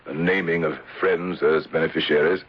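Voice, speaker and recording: An actor playing a courtly older insurance manager in a radio drama, speaking in a measured, formal, paternal way with a husky voice. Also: male voice, rumbling low, steady tone, a little hiss or hum. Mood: matter-of-fact. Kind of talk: 1970s radio drama acting. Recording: dull lo-fi old radio broadcast.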